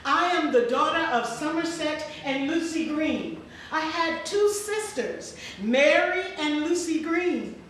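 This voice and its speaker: A woman's voice: high-pitched, expressive, slow pace, few pauses, a bit bright, full character voice. An actress playing an earnest formerly enslaved woman seeking her lost family, in a dramatic stage reading. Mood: earnest, formal. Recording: very echoey hall, distant mic, faint noise.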